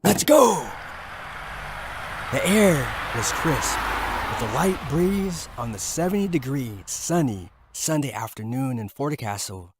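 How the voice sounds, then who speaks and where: A man's voice: medium pitch, lively intonation, measured pace, heavy background noise. A man narrating a scripted fictional story.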